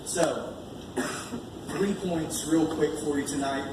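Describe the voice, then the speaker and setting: American preacher, very echoey room, higher-pitched man. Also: steady tone, slow pace. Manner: conversational, earnest, repeating key phrases for emphasis. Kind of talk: informal sermon with scripture reading. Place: large echoey indoor church meeting space. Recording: echoey room, distant mic, background noise.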